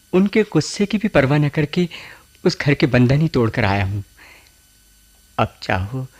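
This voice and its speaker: Sorry husband begging in radio drama, real slow n halting, mid voice. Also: male voice, medium pitch, a little mellow. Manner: halting, pleading, solemn. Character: remorseful estranged husband, pleading, earnest. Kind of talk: Hindi radio play acting.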